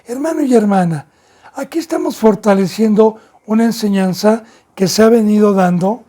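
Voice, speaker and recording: Fervent Mexican pastor preaching a sermon. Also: male voice, sits high, normal speed. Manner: fervent preaching with sudden loud and soft swings, some hesitations.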